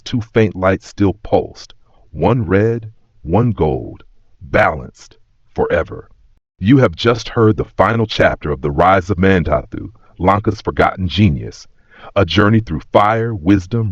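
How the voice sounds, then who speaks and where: Deep-voiced solemn male storyteller in echo. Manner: solemn, measured, reverent. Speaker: narrator. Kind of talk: scripted dramatic audio storytelling. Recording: roomy echo, slightly dull sound.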